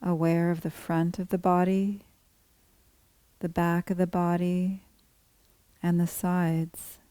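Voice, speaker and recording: A woman guiding a body scan meditation as a teacher, speaking calmly in measured, gently spaced phrases. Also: fairly low pitch, clear, resonant tone, no room sound. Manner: calm, unhurried, gently measured phrasing with pauses. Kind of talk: guided meditation, scripted instruction.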